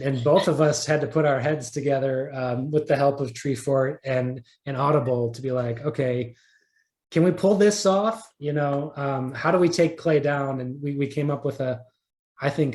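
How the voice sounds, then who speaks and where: A man being interviewed as a writer, talking casually and haltingly, often repeating words as he thinks aloud. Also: mid-range voice, a little mellow. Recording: roomy echo.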